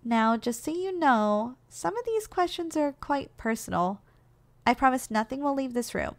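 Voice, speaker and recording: Girl doing a gentle giantess secretary asmr rp, highish female voice, doting, soft and a little hesitant. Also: animated, moderate pace. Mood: reassuring, businesslike. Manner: soft, caring, gently teasing, slightly hesitant. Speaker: ASMR roleplay performer. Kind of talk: ASMR roleplay.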